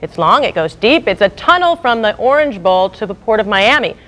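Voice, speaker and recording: A woman's voice: mid-range voice, very animated, brisk, a bit bright. An American woman anchoring scripted local TV news with a wry, punchy, irreverent delivery.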